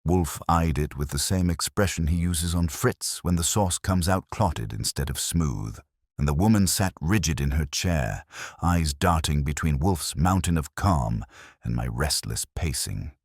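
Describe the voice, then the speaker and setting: A man's voice: very deep voice, lively intonation, measured pace, breathy edge, no echo, studio quality. A man narrating a noir detective story in a wry, hard-boiled way. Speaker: audiobook narrator. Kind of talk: scripted first-person noir detective narration.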